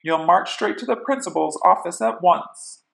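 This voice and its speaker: A woman's voice: lowish voice, normal speed, creaky. A woman voicing a stern, pompous male schoolteacher who scolds and sneers in a children's picture book read-aloud. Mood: exasperated, stern.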